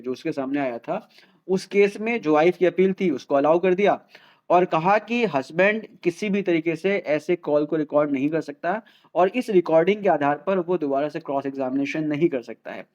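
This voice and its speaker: A man's voice: on the high side, a little mellow, highs cut off. An Indian man presenting an explainer in a didactic way, repeating key points and mixing Hindi with English. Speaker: host of a legal explainer channel.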